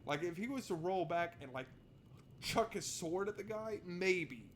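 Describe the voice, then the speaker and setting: A man's voice: high-pitched, lively intonation, a little slow. A man doing let's play commentary, reading narration aloud and adding sarcastic, exasperated asides. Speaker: video game streamer. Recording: noticeable background noise.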